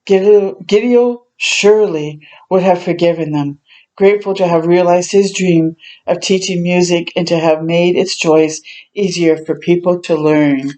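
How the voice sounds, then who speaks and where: Slow-paced woman reading a storybook aloud. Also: fairly low pitch, fairly even intonation. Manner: gentle interjections and reactions to the story. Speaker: storytime host reading children's books aloud. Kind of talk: read-aloud narration with chatty asides.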